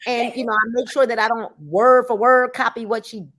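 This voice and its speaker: A woman's voice: fairly high pitch, brisk. A woman in her fifties chatting casually as a network marketing mentor, telling stories with acted-out dialogue and colloquial speech. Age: 50s. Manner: animated storyteller, acts out dialogue, colloquial. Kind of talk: casual chat.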